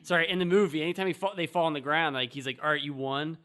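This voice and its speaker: A man hosting a film podcast, talking casually and haltingly. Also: a little high, pushed and bright. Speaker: podcast host.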